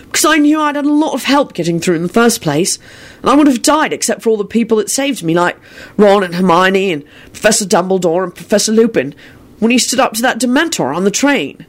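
An earnest young man, played by a woman narrator, pitched up from her usual voice and speaking emphatically. He is a determined defender pleading his case in a fantasy story. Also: lively intonation, moderate pace, lightly acted. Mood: fierce, earnest. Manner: emphatic, vehement.

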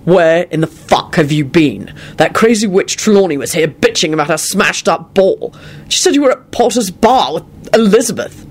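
A woman voicing a brash, irritable but gruffly affectionate man in a storybook, with blunt, emphatic delivery. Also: on the low side, animated, moderate pace, voice pushed up. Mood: irritated.

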